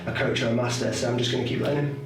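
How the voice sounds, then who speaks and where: A man's voice: mid-range voice, flat delivery, racing. A man who is a personal trainer and client, talking casually and thoughtfully about his progress, with many hesitations. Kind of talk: casual testimonial. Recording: very echoey, far from the mic, guitar music.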